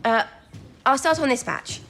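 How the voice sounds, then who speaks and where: An actress playing a young wartime codebreaker in an audio drama, earnest, modest and a little anxious. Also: female voice, high-pitched, a little slow, music in the background. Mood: modest, thoughtful. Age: young adult.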